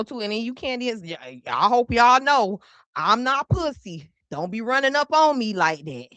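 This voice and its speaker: A woman's voice: high voice, bright, forceful tone, no room sound. A woman hosting a casual political commentary livestream, chatty and teasing, who swears freely and laughs often. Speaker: podcast host.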